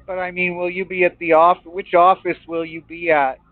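A man taunting a phone scammer, mocking, swearing and yelling at him. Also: high voice, level tone, normal speed, no room sound. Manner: taunting, swearing, sings and yells. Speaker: scam baiter. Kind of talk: prank phone call. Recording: tinny phone line with music.

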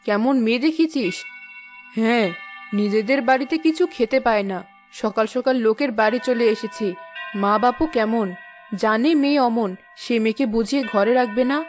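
A woman's voice: on the high side, darkish tone, lightly acted. Woman putting on a sneering village gossip. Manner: sneering, disapproving. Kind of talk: Bengali moral-story cartoon dubbing.

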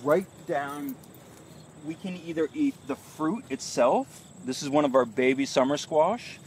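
A man's voice: fairly high pitch, unhurried. A man in his thirties walks through an outdoor vegetable garden, explaining the crops with easy enthusiasm. He is recorded on a phone held a few feet away. Insects and distant traffic hiss steadily behind him. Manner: enthusiastic, explanatory, walking and pointing at plants. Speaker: urban farm manager giving a tour. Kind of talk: live guided tour. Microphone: phone camera a few feet away.

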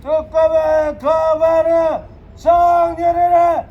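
A man at a street protest, yelling insults with drawn-out angry cries outdoors. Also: extremely high pitch, flat delivery, lo-fi sound. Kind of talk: shouted protest rant.

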